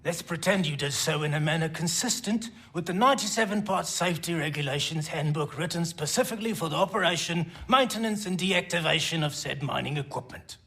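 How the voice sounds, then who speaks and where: A man's voice: on the high side, brisk, few pauses, a bit bright. A man playing a hard-drinking, sardonic dwarf resistance leader in a video game, wry and wordy. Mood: wry, defiant. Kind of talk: video game cutscene acting.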